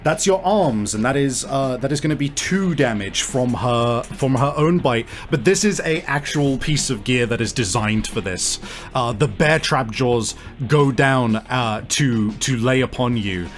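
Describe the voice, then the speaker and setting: A man's voice: medium pitch, unhurried. A man running a tabletop game as game master, narrating in casual, profane language. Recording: music under the voice. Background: background music.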